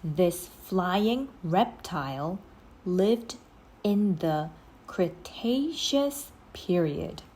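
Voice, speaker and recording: A woman reading a children's story aloud in an expressive, scripted storytelling style. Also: on the low side, extremely slow.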